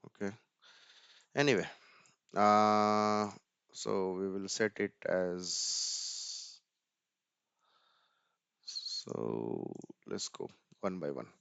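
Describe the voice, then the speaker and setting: A man's voice: low voice, drawn-out words, creaky, long pauses, fairly bright tone, clean tone, no echo. A man giving an informal screen-share tech walkthrough, thinking aloud hesitantly and often repeating words. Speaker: tutorial presenter.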